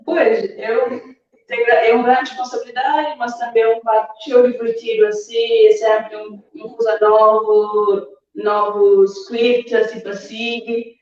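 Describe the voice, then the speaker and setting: A woman's voice: on the high side, flat delivery, slow, darkish tone. A woman chatting casually as an interview guest. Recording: very echoey, distant, dull sound.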